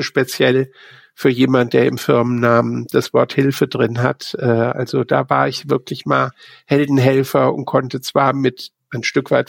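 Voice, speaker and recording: A man's voice: mid-range voice, gravelly, dry recording, clean studio sound. A man working as a business consultant, telling his experience in an earnest, hesitant conversational way. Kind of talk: casual chat.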